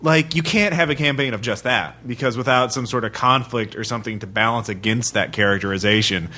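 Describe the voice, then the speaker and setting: A man's voice: medium pitch, brisk, sharp tone. A man hosting a podcast discussion, speaking informally and often correcting himself mid-thought. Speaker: podcast host. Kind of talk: casual discussion.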